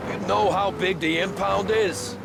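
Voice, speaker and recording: High, creaky, testy gangster. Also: male voice, steady tone, measured pace, very noisy. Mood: irritated. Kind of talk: video game cutscene acting.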